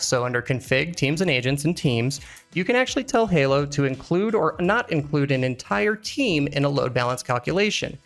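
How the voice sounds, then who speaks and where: Man with gravelly voice explaining software. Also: medium pitch. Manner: explanatory, conversational, asks and answers own questions. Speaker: instructor, engineering executive. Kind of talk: software tutorial narration over screen recording. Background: background music.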